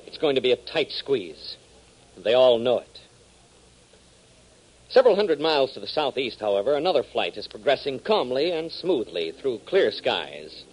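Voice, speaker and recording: A man's voice: medium pitch, big pitch swings, normal speed, husky. An American man narrating a radio adventure serial in a dramatic, scripted 1940s style. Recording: faintly noisy 1940s radio recording.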